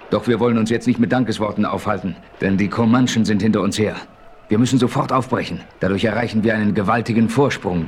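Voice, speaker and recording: An actor playing a resolute, commanding Western adventurer who narrates his story in a 1970s radio play. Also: low voice. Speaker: narrator and lead character. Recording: faintly noisy old radio play recording.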